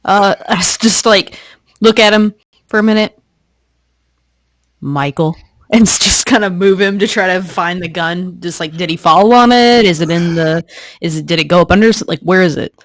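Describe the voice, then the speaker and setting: A woman's voice: lowish voice, moderate pace, dry recording, clean studio sound. A woman speaking casually as a player improvising in a role-playing game on an online call. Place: online group video call.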